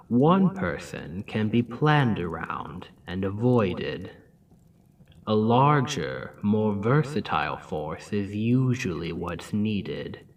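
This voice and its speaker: Man doing a slow mocking demon king. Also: lowish voice, far from the mic, full character voice. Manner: slow, soft-spoken, mocking drawl with dips into whisper. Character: proud scheming male demon king back from death. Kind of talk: ASMR roleplay.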